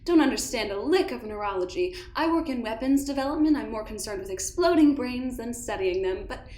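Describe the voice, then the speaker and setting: A woman's voice: fairly high pitch, lively intonation, a little fast, darkish tone, lightly acted. A woman playing a smug weapons developer in an audio drama, gushing and arch with false politeness. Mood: smoothly condescending. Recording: roomy, echoing sound.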